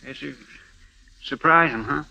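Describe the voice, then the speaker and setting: An actor with a rural American accent playing an anxious, deferential deputy in an old radio western. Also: male voice, on the high side, unhurried, vocal fry, sharp tone, exaggerated voice. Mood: worried. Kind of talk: 1950s radio western acting. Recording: muffled old radio broadcast recording.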